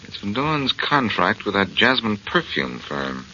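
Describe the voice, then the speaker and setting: An actor playing a glib, self-assured amateur detective in 1940s radio drama style, teasing and dryly sarcastic. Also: male voice, on the low side, sing-song, a little slow, pushed and bright, heavily acted. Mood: confident, intent. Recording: muffled, noisy 1940s radio recording.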